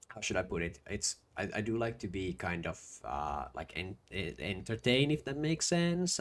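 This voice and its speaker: A man's voice: deep voice, a little mellow. A young man with a Finnish accent chatting casually while streaming a game, hesitant with many fillers and restarts. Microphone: desk microphone.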